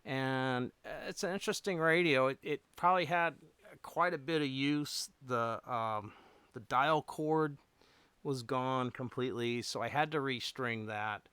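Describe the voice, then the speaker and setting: A man chatting casually and unscripted as a hobbyist livestream host, rambling a little and thinking aloud in halting phrases. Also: medium pitch, a bit bright, smooth, no room sound. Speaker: radio repair hobbyist hosting a livestream.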